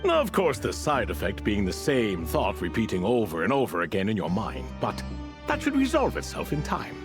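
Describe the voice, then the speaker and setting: A man's voice: on the low side, huge pitch swings, moderate pace, over music. An actor playing an ancient ibis king spirit in a video game, courteous and wistful, with a theatrical, leisurely storytelling manner. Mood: explanatory, breezy.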